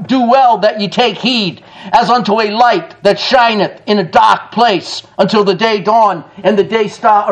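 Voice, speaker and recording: A middle-aged man preaching a sermon in a church, emphatic, with quick chuckling asides. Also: sits high, low-quality recording. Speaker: pastor. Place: church sanctuary.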